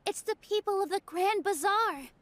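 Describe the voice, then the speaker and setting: A woman playing a gentle, earnest and apologetic young stage performer in a video game, sincere in manner. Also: extremely high pitch, normal speed, no room sound. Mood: earnest, troubled. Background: game music.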